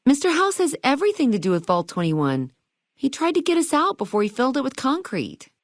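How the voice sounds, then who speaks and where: A high-pitched, bubbly young shopkeeper from a video game. Her delivery is sassy and theatrical, swinging widely in pitch with retro slang, and the recording is close and dry. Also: wildly swooping pitch, fairly quick, dry recording, exaggerated voice. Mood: nostalgic, chatty.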